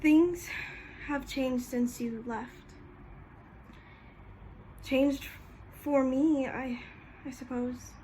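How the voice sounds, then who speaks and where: Young woman playing shy sad teen girl, really high voice, slow and halting, faint noise behind. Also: fairly even intonation, warm tone, poor audio. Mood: wistful, grieving. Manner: hesitant, halting delivery. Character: shy, wistful teenage classmate in mourning. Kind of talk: amateur stage drama monologue.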